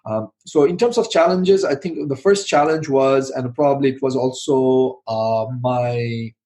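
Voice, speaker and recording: A man's voice: medium pitch, fairly even intonation, a little slow, darkish tone. A middle-aged man from Bangladesh answering interview questions in a loose, rambling way, full of "you know" and restarts. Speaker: podcast guest, IT manager and founder.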